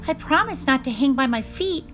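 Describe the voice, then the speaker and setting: A woman's voice: sits high, animated, normal speed, fairly bright tone, soft background music, voice pushed up. A woman voicing a small, earnest young girl bat in a childlike manner for a storybook. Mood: resigned.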